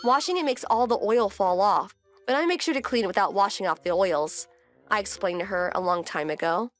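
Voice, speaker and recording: A woman's voice: medium pitch, a little fast, a bit bright, faint music behind, natural voice. A woman playing a composed, wry wife who narrates her domestic story in a scripted manga dub. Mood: matter-of-fact.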